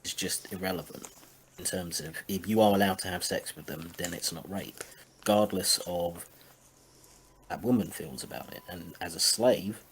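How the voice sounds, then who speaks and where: A man giving casual analysis in a tentative, measured manner as a panelist. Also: sits low, poor audio. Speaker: panelist on a commentary show. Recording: faint background noise.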